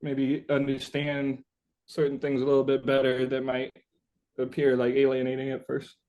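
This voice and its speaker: A man's voice: mid-range voice, monotone, normal speed, darkish tone, lo-fi sound. A man being interviewed as a musician, chatting casually and reflectively, searching for his words with informal, sweary phrasing.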